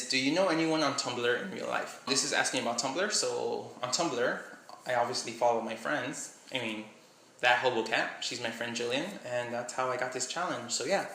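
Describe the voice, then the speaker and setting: Young male vlogger, slight Miami accent, bright tone, rambling, echoey room. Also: mid-range voice, moderate pace. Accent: slight Miami English. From Miami, USA. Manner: rambling, trails off with "I don't know". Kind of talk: casual vlog chat, short read-aloud passage.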